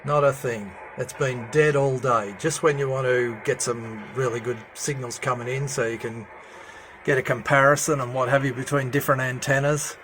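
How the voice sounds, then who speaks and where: A man with a mid-pitched voice presents an informal, hands-on demonstration. He speaks haltingly, pausing often as he works. The handheld indoor recording carries some faint noise. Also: fairly even intonation, fairly bright tone, low-quality recording. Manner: hesitant, halting delivery with many pauses. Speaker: amateur CB radio hobbyist presenter. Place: indoor room at home with radio gear.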